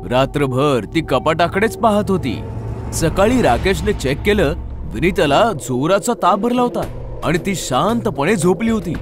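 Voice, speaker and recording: A male storyteller delivers a scripted horror tale dramatically. His voice sits high and moves widely in pitch, with music clearly playing beneath. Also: lively intonation, measured pace. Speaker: narrator. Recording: clear music under narration.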